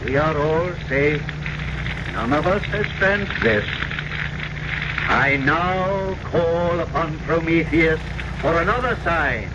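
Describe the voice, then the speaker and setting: An actor in an old radio drama playing an exalted cult leader, proclaiming solemnly and theatrically. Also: male voice, slow pace. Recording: noisy lo-fi radio broadcast, vehicle rumble.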